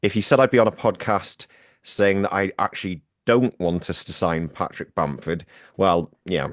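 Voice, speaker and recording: Deep, low-pitched man on a dry, close home microphone. He presents a football news roundup in a chatty, self-deprecating way, with plenty of ums. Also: fairly even intonation, moderate pace, no echo. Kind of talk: casual podcast chat.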